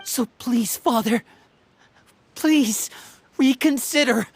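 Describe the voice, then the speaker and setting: A woman's voice: on the high side, slow pace, slight background noise. A woman playing an earnest, polite youngster who defers to a stern parent in a video game. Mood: pleading. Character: earnest youth pleading respectfully with stern parent.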